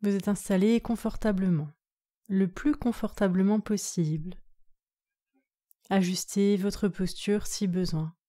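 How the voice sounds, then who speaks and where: A woman yoga nidra instructor leading a guided relaxation meditation in a calm, soothing, measured way. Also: medium pitch.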